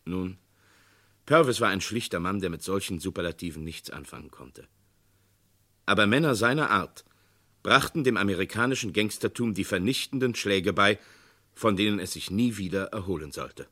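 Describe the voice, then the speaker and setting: A man with a lowish voice narrating a vintage radio crime drama. His delivery is measured and documentary, steady and serious.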